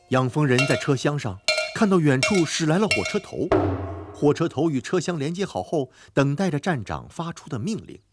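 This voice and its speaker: A man narrating an animated folk tale in an expressive, scripted storytelling style. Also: mid-range voice. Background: music.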